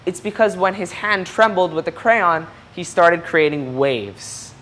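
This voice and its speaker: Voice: mid-range voice, expressive, unhurried, fairly bright tone. A person reading a children's picture book aloud expressively, explaining things and putting questions to young listeners. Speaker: storybook reader.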